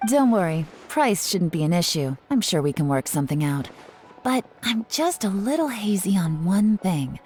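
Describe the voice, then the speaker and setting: A woman's voice: on the low side, very animated, moderate pace, a little mellow. A woman playing a cool, shrewd intelligence officer in a video game, composed and commanding with dry confidence. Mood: smooth, casual. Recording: music behind the voice.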